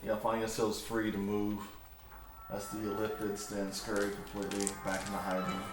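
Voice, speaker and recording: A man's voice: lowish voice, monotone, normal speed, over loud music. A man running a tabletop game as game master, switching between narration and casual banter. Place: indoor room, home game session. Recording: echoey room, background noise, home-made. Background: noise, air conditioner hum.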